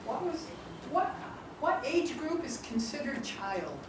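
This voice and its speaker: A woman answering an impromptu question at a speaking club, reflective and halting at first. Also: high-pitched, very animated. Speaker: meeting participant answering a question. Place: echoey meeting room. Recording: distant mic in an echoey room with noise.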